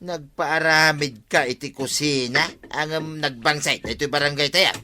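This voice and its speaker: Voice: mid-range voice, normal speed, sharp tone, slight background noise, lightly acted. A performer with an Ilocano accent playing a blunt, quarrelsome villager in a radio comedy drama, exclamatory and confrontational.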